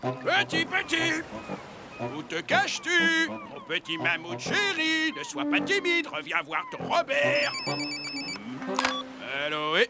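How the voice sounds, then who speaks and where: A man's voice: extremely high pitch, wildly swooping pitch, slow, vocal fry, exaggerated voice. An actor playing a bumbling, boastful, touchy male villain in a French cartoon dub, with an exaggerated delivery. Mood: wheedling. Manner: exaggerated, indignant, wheedling. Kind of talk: French animated series dubbing. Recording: music behind the voice.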